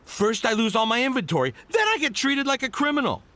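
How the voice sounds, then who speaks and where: High, flustered, shifty merchant. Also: male voice, big pitch swings, brisk, bright, forceful tone, no room sound, exaggerated voice. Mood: nervous, evasive. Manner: stammering, evasive, flustered. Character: nervous, shifty male merchant protesting his innocence.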